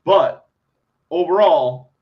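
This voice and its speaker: Man, high-pitched, profane podcast co-host who argues bluntly; lo-fi audio. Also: slow pace. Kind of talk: crude casual chat. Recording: slightly dull lo-fi sound.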